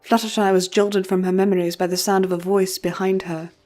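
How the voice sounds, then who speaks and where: A woman's voice: mid-range voice, level tone, brisk, mellow, faint music behind. A woman narrating a story in a dramatic, scripted reading style.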